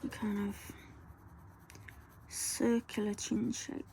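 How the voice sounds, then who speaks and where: A woman, talking softly through a colour-along tutorial and often dropping to a whisper. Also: mid-range voice, little pitch movement, slow, vocal fry, slight background noise. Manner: soft, rambling, often drops to a whisper. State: croaky voice.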